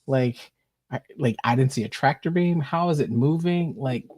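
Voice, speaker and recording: A man's voice: mid-range voice, normal speed, a little mellow, no room sound, studio quality. A man co-hosting a podcast in casual banter, questioning and nitpicking in a self-aware way. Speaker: podcast co-host.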